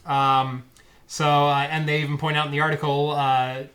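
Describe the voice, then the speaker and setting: A man's voice: fairly high pitch, flat delivery, moderate pace, a bit bright. A man hosting a casual tech podcast, still getting over a cold and sniffling, chatting in a laid-back, jokey way with many fillers into a close desk mic. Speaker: show host. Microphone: desk microphone close by.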